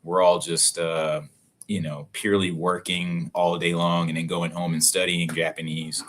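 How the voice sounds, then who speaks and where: A man's voice: low-pitched, flat delivery, normal speed, a bit bright. A middle-aged man chatting casually as a guest on a livestream, laid-back and swearing now and then. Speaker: guest, card collector.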